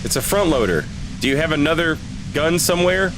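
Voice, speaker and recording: Male game streamer, slightly high voice, reading dialogue aloud, noisy game ambience behind. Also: lively intonation, a little fast.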